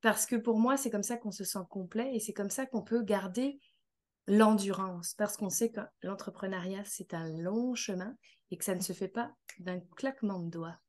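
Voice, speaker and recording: A woman's voice: mid-range voice, moderate pace. A woman from France with a light Canadian accent, a guest speaking earnestly about her coaching work in a casual interview. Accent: French with a light Canadian tinge.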